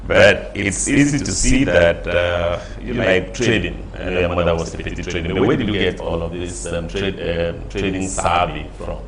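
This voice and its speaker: A man interviews a guest, asking probing questions in an easy, conversational way. His voice is very deep, and faint noise sits under the recording. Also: normal speed, few pauses.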